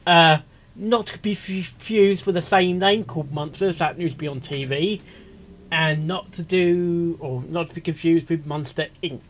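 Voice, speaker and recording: A man's voice: fairly high pitch, normal speed, a little hiss or hum. A man giving casual reaction commentary as host, musing aloud in questions and repeating his phrases.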